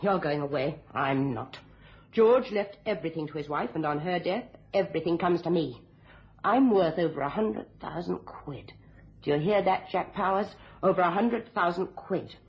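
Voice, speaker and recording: An actress playing a curt, scornful, greedy British schemer in a radio thriller. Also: female voice, fairly low pitch, moderate pace, natural voice. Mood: cold, triumphant. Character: cold, scheming, greedy femme fatale. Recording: dull lo-fi radio drama recording.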